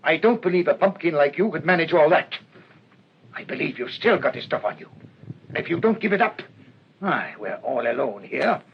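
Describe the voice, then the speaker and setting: An actor playing a proud gentleman thief in a radio drama, blustering and dramatic. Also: fairly high pitch, expressive, moderate pace. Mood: scornful, threatening. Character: proud, theatrical gentleman thief, menacing villain. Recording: dull lo-fi radio recording, faint noise.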